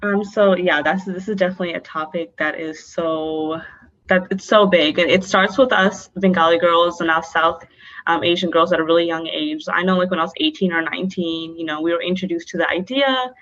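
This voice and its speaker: Open-spoken young woman, lower voice, muffled. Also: brisk, fairly bright tone. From North Dakota, born in Bangladesh. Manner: open, many fillers like um and like. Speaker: podcast guest. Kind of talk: candid interview answers.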